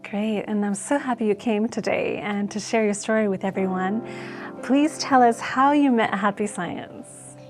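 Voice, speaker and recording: A woman's voice: medium pitch, moderate pace, soft background music. A woman hosting an interview show, introducing her guest and asking questions in a friendly, encouraging manner. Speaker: host.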